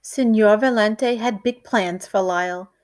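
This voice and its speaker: Woman, children's story read-aloud, unhurried pace, expressive, deliberate, teacherly. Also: medium pitch.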